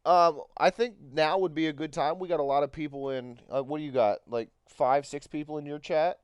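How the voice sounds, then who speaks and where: A man's voice: on the high side, steady tone, fairly quick, clear, resonant tone. A man in his thirties chatting casually on a livestream, rambling with many fillers. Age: 30s. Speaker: co-streamer.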